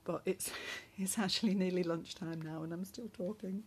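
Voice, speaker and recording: A lowish, slightly hoarse woman chats gently through a craft journal, pausing often with "um"s. She is recorded on a phone above a table at home, with a faint hum in the room behind her. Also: steady tone. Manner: soft, chatty, with many pauses and fillers. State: going hoarse, clearing her throat. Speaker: crafter showing a handmade journal. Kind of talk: casual craft flip-through narration. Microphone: phone camera above the table.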